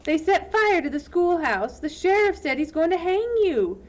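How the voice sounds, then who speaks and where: A woman's voice: very high voice, very animated, moderate pace, pitched above the performer's own voice. A woman voicing an earnest schoolteacher who pleads urgently and defiantly in a storybook reading.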